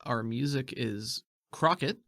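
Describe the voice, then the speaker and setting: A man's voice: mid-range voice, takes it slowly, a bit bright, no echo, studio quality. A man talking casually as a podcast co-host and game master.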